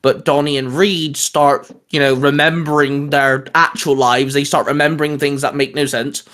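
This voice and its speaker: A man chatting casually as a host pitching geeky ideas, rambling and restarting his sentences as he goes. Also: flat delivery, moderate pace, a bit bright. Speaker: host of a comics discussion show.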